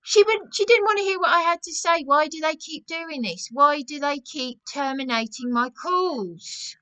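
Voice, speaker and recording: A woman's voice: high voice, normal speed, bright, forceful tone. A distressed woman making a complaint as a caller, agitated and insistent, repeating her phrases.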